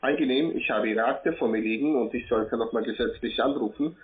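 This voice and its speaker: A man's voice: medium pitch, a little fast. A man making a formal scripted call as an official. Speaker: caller posing as an official. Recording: tinny narrowband phone line.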